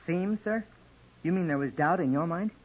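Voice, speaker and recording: A man's voice: on the high side, moderate pace, lightly acted. An actor playing an earnest, polite, determined young male college student in 1940s radio drama style. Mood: inquisitive, determined. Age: young adult. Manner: eager, polite, deferential. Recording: dull, noisy old radio recording.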